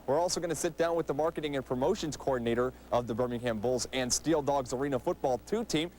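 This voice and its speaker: A man's voice: medium pitch, darkish tone, a little hiss or hum. A man presenting a hockey show in a polished announcer delivery, speaking to viewers and interviewing. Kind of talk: upbeat scripted TV presenting and interviewing.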